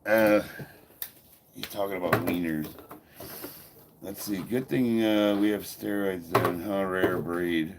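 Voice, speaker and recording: A man's voice: low voice, takes it slowly, pauses often. A man in his fifties with a stuffy nose, chatting informally as he hosts a training livestream. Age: 50s. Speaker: powerlifter and livestream host. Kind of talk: casual livestream chat while training. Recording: noisy room, ambient background sound.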